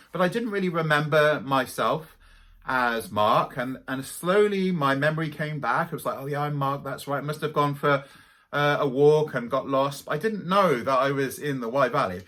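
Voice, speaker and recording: A middle-aged man with a light Welsh accent telling dramatic spiritual stories to camera. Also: fairly high pitch. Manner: animated, dramatic storyteller. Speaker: spiritual teacher. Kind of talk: storytelling to camera.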